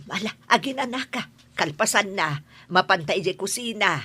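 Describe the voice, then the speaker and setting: Woman acting welcoming provincial store-keeping aunt, animated, bright, familiar, audio drama, faintly noisy track. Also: mid-range voice, normal speed, husky. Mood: welcoming, teasing. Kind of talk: Philippine radio-style audio drama acting.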